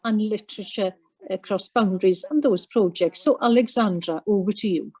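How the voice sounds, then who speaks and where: A woman chairing an online literary event, speaking in measured remarks with occasional ums over a video call. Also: medium pitch, a little mellow, slightly rough, distant mic.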